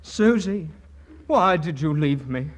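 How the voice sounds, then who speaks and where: High-voiced young Englishman. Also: expressive, slow pace. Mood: melodramatic. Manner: diffident, polite. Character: mild, polite young English bit-part actor. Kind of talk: 1940s radio comedy acting.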